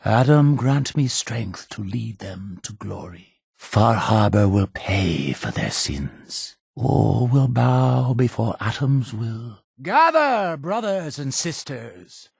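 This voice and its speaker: A zealous cult leader for a video game, solemn and fervent. His delivery is slow and grandly preaching, rising and falling dramatically. The voice is husky with a creaking edge, in formal, ceremonial phrasing. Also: lowish voice, a little mellow, no room sound, clean studio sound, full character voice. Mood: fervent, exalted. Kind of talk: scripted video game character dialogue.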